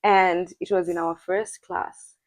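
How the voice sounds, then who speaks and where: A woman's voice: fairly low pitch, level tone, slow, dry recording. A young woman from Zimbabwe co-hosting a podcast, chatting casually and telling a story with lots of laughter. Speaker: podcast co-host. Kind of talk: casual chat.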